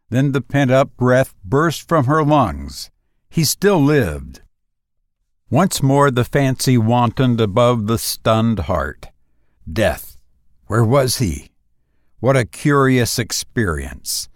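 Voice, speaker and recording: Slow, measured male story narrator. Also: medium pitch, no room sound, clean studio sound. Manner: measured, dramatic, with deliberate pauses. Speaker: audiobook narrator. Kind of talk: scripted literary story narration.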